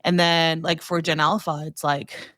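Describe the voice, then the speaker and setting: A woman with a fairly low voice talks casually as a guest on a podcast. Her delivery is informal and rambling, full of "like" as she comments. The sound is dry, with very little room in it. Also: flat delivery, unhurried, fairly bright tone, no echo. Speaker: podcast guest, food trends newsletter writer.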